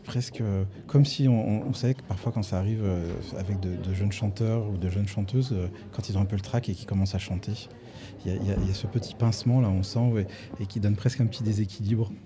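A man's voice: on the low side, fairly even intonation, fast talker, a crowd in the background. A man interviewing a singer, asking long, reflective and detailed questions about her voice. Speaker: radio interviewer.

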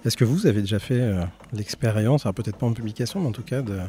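Man, interviewer, low pitch, warm mellow tone, measured winding questions. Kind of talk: radio-style interview questions.

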